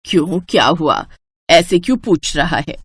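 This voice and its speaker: A woman's voice: low-pitched, animated, unhurried, a bit bright, husky, no room sound, studio quality, voice pushed down, heavily acted. A woman voicing a simple, mild older village mother-in-law in a storybook tale, homely and naive. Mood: plain, curious. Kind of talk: storybook acting.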